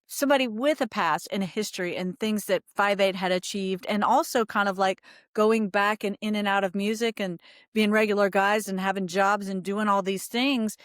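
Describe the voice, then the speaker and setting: A woman's voice: medium pitch, fairly even intonation, moderate pace, dry recording, clean studio sound. Effusive woman hosting a podcast. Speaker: podcast host. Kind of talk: casual conversational interview.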